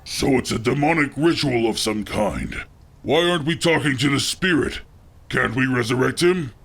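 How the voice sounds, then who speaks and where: An actor playing a gruff, blunt old orc soldier in a radio play, with a gravelly voice. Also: male voice, mid-range voice, expressive, moderate pace, fairly bright tone, exaggerated voice. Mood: puzzled.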